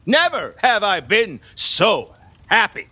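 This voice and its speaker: A man's voice: high voice, huge pitch swings, takes it slowly, bright, forceful tone, breathy edge, no echo, heavily acted. A man acting a pompous, lecherous, superstitious king in an audio play, grandiose and rambling. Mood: boastful, elated.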